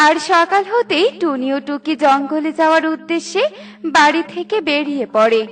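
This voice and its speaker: A woman's voice: high-pitched, moderate pace, fairly bright tone, slight background noise. A woman narrating a children's story in a scripted storytelling style.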